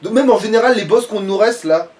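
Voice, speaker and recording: High-voiced, fast-talking man, far from the mic. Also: a little mellow. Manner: informal, mildly sweary. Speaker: let's play gamer. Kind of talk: casual gaming chat.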